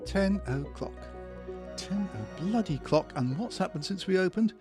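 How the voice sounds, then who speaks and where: A British actor playing a grumpy, sarcastic small-town office worker in a comedy audio drama, grumbling and swearing sardonically. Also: male voice, sits high, lively intonation, measured pace, music in the background. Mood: grumbling, exasperated.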